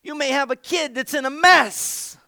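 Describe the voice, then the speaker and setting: A pastor preaching an energetic sermon. He jokes playfully, then shouts his exhortations. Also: very high voice, moderate pace, no room sound, clean studio sound.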